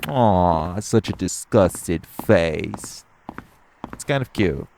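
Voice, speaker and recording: Man playing a deep, gravelly, cocky male vampire. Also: animated, very slow, background noise, full character voice. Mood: teasing, cocky. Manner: smug, taunting, drawling, playfully menacing. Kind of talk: intimate roleplay audio drama.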